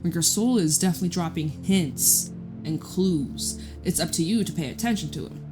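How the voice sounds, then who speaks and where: A woman giving a casual tarot reading in a loud, chatty voice, rambling off into side stories. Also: lowish voice, lively intonation, moderate pace. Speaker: tarot reader. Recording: guitar music playing behind. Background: guitar music.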